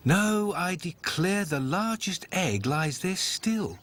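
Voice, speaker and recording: A man's voice: high-pitched, lively intonation, takes it slowly, a bit bright, voice pushed up, heavily acted. A man voicing a fussy, weary, proud mother duck in a storybook tale, fretful and exclaiming. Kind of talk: storybook character voice.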